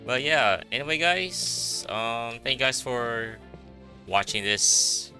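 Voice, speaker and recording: A man's voice: mid-range voice, lively intonation, slow pace, sharp tone, soft background music. A man giving casual gameplay commentary as a streamer, informal, muttering short reactions. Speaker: game streamer.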